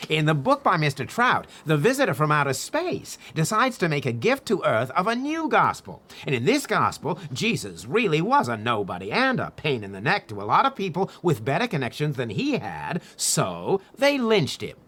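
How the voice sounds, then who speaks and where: An actor playing a sardonic, talkative cynic in a radio drama, telling things in a wry, emphatic, theatrical way. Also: male voice, a little high, huge pitch swings, normal speed, hardly any pauses, bright, forceful tone, full character voice. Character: sardonic, talkative cynical man expounding his opinions.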